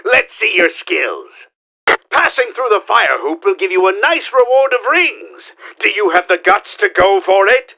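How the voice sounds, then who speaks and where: A bombastic mad-scientist game villain, pitched high with wild, swooping intonation. The gravelly voice is forceful and theatrical. He is pompous and scheming, a middle-aged schemer who performs every line. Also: very animated, measured pace, slight background noise, exaggerated voice, rough recording. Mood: boastful showman. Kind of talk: exaggerated video game voice acting.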